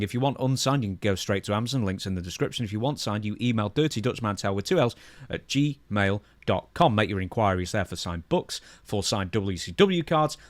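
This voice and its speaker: Low-voiced man hosting a podcast. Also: no echo. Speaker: podcast co-host. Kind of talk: casual chat and show introduction.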